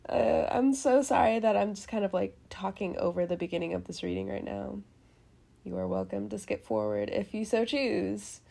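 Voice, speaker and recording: Chatty congested woman reading tarot, gravelly. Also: mid-range voice, normal speed, mellow. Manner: chatty, laughs often, rambling asides, casual cursing. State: head cold, congested. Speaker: tarot reader host. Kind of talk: casual tarot reading monologue.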